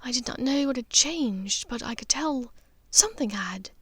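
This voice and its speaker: A high, soft voice of a gentle aristocratic witch mother, put on by a woman narrator. She is knowing and wistful, pausing delicately and sometimes fading toward breathiness. It is an audiobook character in a dry, close recording. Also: animated, slightly rough, no echo. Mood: earnest, soft.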